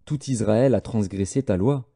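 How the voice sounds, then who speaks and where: A man narrating scripture as an audiobook, reading in a measured, solemn manner. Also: lowish voice, creaky, warm tone, husky.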